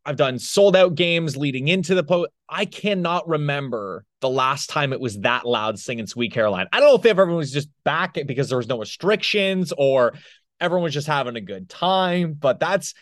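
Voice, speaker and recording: A man's voice: a little high, expressive, normal speed, no echo, clean studio sound. A man co-hosting a sports podcast in an informal, conversational way. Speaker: podcast co-host and arena in-game announcer. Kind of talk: casual sports chat.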